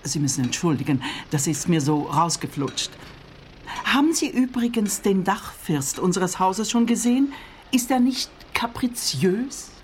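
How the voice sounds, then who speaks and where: A woman's voice: medium pitch, expressive, unhurried, close to an everyday voice. An actress playing a prim, eccentric female neighbour in a comic radio play, with a fussy, affected, genteel manner. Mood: affected, delighted. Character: prim, eccentric female neighbour who loves rare words. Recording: noticeable background noise.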